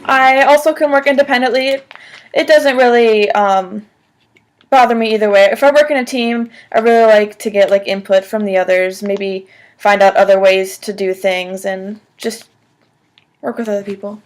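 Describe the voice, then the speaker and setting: A woman's voice: mid-range voice, steady tone, normal speed. A woman in her twenties answering job interview questions on the phone in a casual, conversational way, often saying "like," recorded at a desk in a small room. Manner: casual, frequent "like" as filler. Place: small room at home, indoors.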